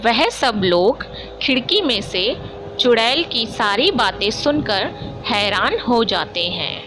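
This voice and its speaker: A woman's voice: a little high, lively intonation, takes it slowly, music in the background. A woman narrating a scripted cartoon story in a storytelling style, with noisy ambience behind her.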